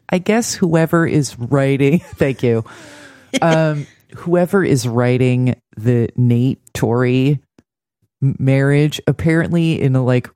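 Woman podcaster w a really warm dark voice, chatting about tv, sardonic, jokey. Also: rumbling low, no room sound, studio quality. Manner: sardonic, joking. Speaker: podcast host. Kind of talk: casual TV recap chat with read announcements.

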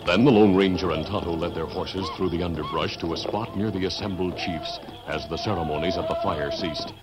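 A man playing the dramatic narrator of a 1950s radio western adventure, with an American accent and deliberate storytelling delivery. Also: low voice, over loud music, natural voice. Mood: measured. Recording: old radio broadcast recording.

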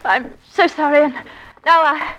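A woman playing a young, polite ballerina in 1940s radio thriller style, with halting dramatic delivery. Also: high-pitched, fairly bright tone. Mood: frightened, pleading. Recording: noisy old radio recording.

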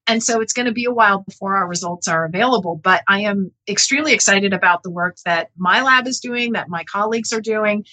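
A woman speaking as a professor in an interview, explaining her research in an earnest, measured, halting way with self-corrections. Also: mid-range voice, sharp tone, rough recording.

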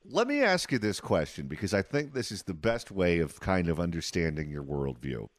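Deep-voiced man hosting a radio interview. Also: sing-song, moderate pace, no echo. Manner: conversational, long winding questions. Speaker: radio talk show host.

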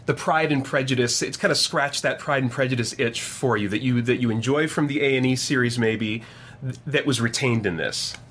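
Casual man hosting, medium-pitched voice. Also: a little fast, fairly bright tone, a little hiss or hum. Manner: informal, rambling phrasing of questions. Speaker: podcast host and interviewer. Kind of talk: casual conversational interviewing.

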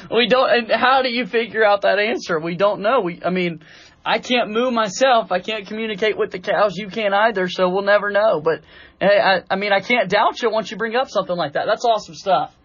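A man's voice: sits high, a little fast, a bit bright, no room sound, dull audio. A man from North Carolina with an American accent, hosting a sports interview podcast. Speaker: podcast host.

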